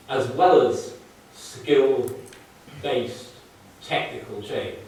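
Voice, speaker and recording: A man's voice: medium pitch, darkish tone, far from the mic, slight background noise. Older Mancunian man lecturing in an echoey hall. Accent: northern English, Mancunian. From Manchester, England. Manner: dry, wry asides while explaining charts. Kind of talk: public lecture.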